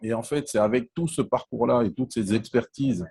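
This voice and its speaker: A man's voice: medium pitch, normal speed, vocal fry, a little mellow, slightly rough, no room sound, studio quality. A man from Congo in his forties, answering interview questions in a hesitant way, with frequent fillers and restarts. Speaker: interview guest, telecom entrepreneur.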